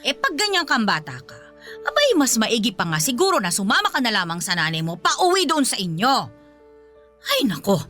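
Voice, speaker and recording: Scolding middle-aged Filipino mother-in-law, swooping animated delivery, nagging and dismissive, radio drama. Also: a little high, faint music behind, heavily acted. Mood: indignant. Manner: nagging, dismissive, drawn-out phrases.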